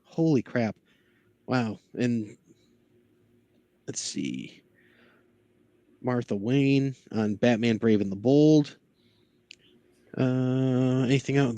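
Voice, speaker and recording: A man with a mid-pitched voice talks casually as a guest on a podcast. He sounds enthusiastic and openly geeky. The sound is close and dry, with very little room around him. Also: takes it slowly, pauses often, dark tone, dry recording. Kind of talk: casual chat about cartoons.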